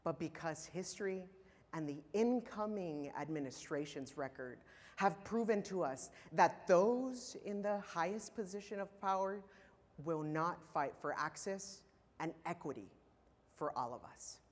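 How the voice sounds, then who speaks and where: A man in his thirties from Los Angeles, giving an impassioned prepared keynote speech to a conference audience with emphatic, pointed pauses. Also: a little high, lively intonation. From Los Angeles, California. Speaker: keynote speaker. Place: large conference hall. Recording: reverberant hall.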